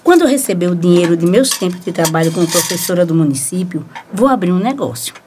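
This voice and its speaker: Actress as a folksy, lively Brazilian ex-schoolteacher. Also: female voice, fairly low pitch, fast, background noise, natural voice. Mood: chatty. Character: friendly, homely female former schoolteacher with plans. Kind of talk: radio soap opera acting. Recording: clattering dishes behind the voice.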